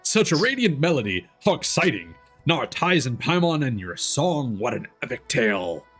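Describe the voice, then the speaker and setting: Man voicing an earnest, animated little forest spirit. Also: mid-range voice, normal speed, slightly rough, soft background music, voice pushed down. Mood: earnest, grateful. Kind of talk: reading game dialogue aloud.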